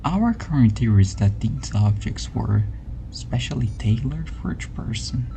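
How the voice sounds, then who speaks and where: A woman playing a calm, quietly eerie scientist in a spooky ASMR roleplay, soft and breathy, close to a whisper, with a deliberate hushed delivery. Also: rumbling low, slight background noise, exaggerated voice, rough recording. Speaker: researcher giving a briefing.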